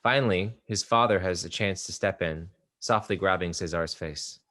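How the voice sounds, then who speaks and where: A man calmly reading stage directions aloud at a play table read. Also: low-pitched, normal speed. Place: art exhibition theater area.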